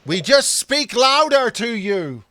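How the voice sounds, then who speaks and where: A man's voice: very high voice, sing-song, takes it slowly, bright, forceful tone. A middle-aged man with a Belfast accent chatting casually over a video call, rambling and poking fun at himself. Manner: joking, rambling, self-mocking. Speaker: host.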